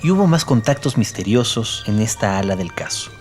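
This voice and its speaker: Man narrating in a lowish voice. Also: expressive, fairly quick, a little mellow, faint music behind. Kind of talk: scripted documentary drama narration.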